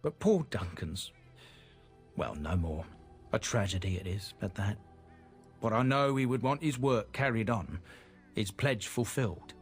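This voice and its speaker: An actor playing a chatty, earnest merchant from a proud but disgraced family in a fantasy video game. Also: male voice, on the low side, lively intonation, a little slow, pauses often. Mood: regretful. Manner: folksy, chatty, inverted phrasing. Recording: game audio with clear music behind. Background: game music.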